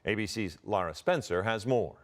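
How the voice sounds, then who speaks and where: A man's voice: fairly low pitch, animated, normal speed. A man with an American accent presenting broadcast news as an anchor.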